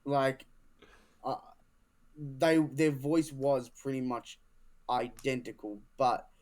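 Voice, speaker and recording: A man's voice: medium pitch, slow pace. Casual man swearing a lot. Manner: excitable, swears freely, stumbles on restarts. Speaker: podcast co-host, hobby voice actor. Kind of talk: casual chat.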